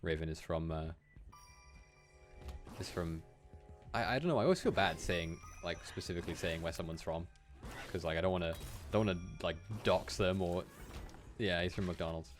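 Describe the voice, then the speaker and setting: A young man from Wales with a general British accent and a low, deep voice. He keeps up a chatty, relaxed gameplay commentary, laughing often as he talks to his viewers from a room at home. Faint game music sits quietly behind him. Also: moderate pace. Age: young adult. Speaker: game streamer.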